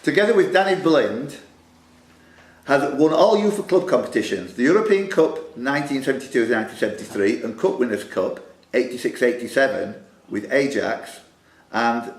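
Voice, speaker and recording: A man's voice: mid-range voice, lively intonation, moderate pace. A man hosting a live pub quiz, with jokey, punning asides. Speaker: quiz master. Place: social club room. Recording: roomy club hall with some noise.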